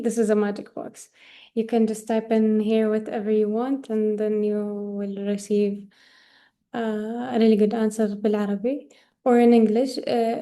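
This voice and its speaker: A woman walks through a software demo on a video call. She speaks slowly and with many pauses and fillers, and drops in Arabic words now and then. Her voice sits a little high but sounds dark and mellow, with little rise and fall. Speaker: workshop presenter. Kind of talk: screen-share product demo.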